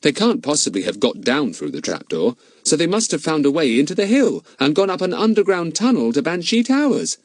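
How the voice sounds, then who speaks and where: A curious schoolboy speaks with excited wonder in a voice pitched above the reader's own, put on by a man reading aloud. It rises and falls a lot, close and dry. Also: a little fast, hardly any pauses, no room sound. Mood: puzzling. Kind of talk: audiobook character voice.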